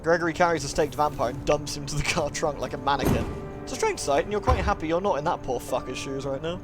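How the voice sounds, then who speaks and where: A man's voice: on the high side, expressive, fast talker, over music. A man streaming a visual novel, reading the narration aloud dramatically and breaking into playful, chatty asides to his viewers. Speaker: live streamer.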